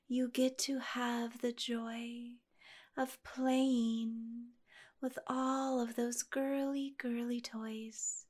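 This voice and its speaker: A woman guiding a meditation like a gentle storyteller, speaking slowly and softly with hushed, breathy phrase endings. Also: a little high. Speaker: guided meditation host. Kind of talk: soothing guided meditation storytelling.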